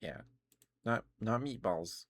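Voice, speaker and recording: A man streaming ASMR close to the microphone, chatting casually and sometimes whispering, in a halting, rambling way. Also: medium pitch, expressive, fairly bright tone, slight background noise. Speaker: ASMR livestreamer. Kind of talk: ASMR livestream, casual chat with whispered passages.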